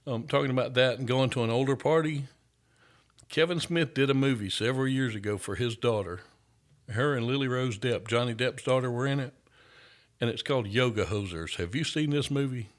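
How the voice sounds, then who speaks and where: A man's voice: mid-range voice, a little fast. A man from the United States hosting a casual chat show, easygoing and rambling, saying "y'all". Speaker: host.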